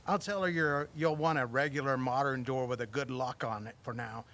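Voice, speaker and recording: A big, heavy-set contractor in an audio drama, played by a male actor. He has a medium-pitched man's voice and a plain-spoken, practical manner. His delivery is natural and matter-of-fact. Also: steady tone, brisk, fairly bright tone, lightly acted. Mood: matter-of-fact, friendly.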